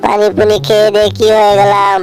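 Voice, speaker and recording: A woman dubbing a bossy, easily panicked cartoon homeowner who scolds and wails loudly. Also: mid-range voice, monotone, fast, soft background music, close to an everyday voice. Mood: lamenting. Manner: scolding, wailing exclamations. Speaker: fan dub voice artist. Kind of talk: homemade cartoon dub.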